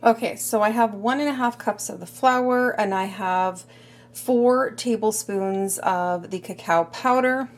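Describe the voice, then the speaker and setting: A woman filming a casual cooking video in her home kitchen, chatty and full of little asides, her voice a bit hoarse. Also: mid-range voice, a little slow. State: slightly hoarse. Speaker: cooking vlogger.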